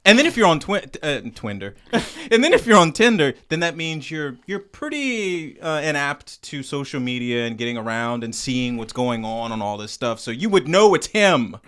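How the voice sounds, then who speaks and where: A man's voice: fairly high pitch, sing-song, normal speed, hardly any pauses, fairly bright tone, clear, resonant tone. A man hosting a podcast in a studio, talking in a loose, joking way with plenty of swearing. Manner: loose, profane, joking, rambling. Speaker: podcast host. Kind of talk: casual conversation. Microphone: studio microphone.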